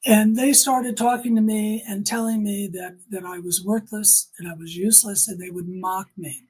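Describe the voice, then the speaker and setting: A woman's voice: medium pitch, steady tone, moderate pace, darkish tone, breathy edge. A woman giving personal testimony in an interview, speaking emphatically and repeating phrases to drive points home.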